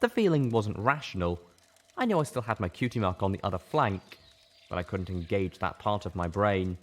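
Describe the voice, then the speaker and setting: A man's voice: lowish voice, lively intonation, moderate pace. A man reading an audiobook as a dramatic, intense first-person narrator. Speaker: audiobook reader.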